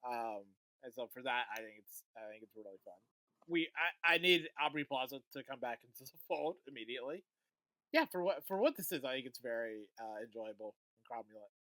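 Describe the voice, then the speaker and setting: A podcast co-host leading a casual chat about TV episodes, relaxed and conversational, often trailing off quietly. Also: medium pitch, brisk, no echo, poor audio.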